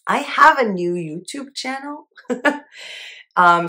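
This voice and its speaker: Woman, young adult, low voice, casual monthly update, chatty, upbeat. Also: fairly bright tone. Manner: chatty, upbeat, laughs at herself. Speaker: channel host.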